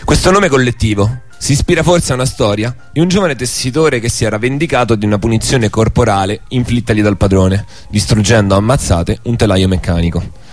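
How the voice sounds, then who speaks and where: A man's voice: lowish voice, brisk. Male radio narrator over music. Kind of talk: scripted documentary narration. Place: radio studio.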